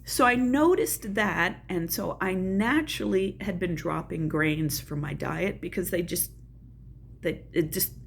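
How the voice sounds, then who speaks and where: Older woman, southern US accent, lowish voice, chatty folksy vlog, faint room hum. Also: unhurried, creaky. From American South. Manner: chatty, folksy, self-deprecating asides. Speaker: channel host. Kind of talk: casual personal vlog.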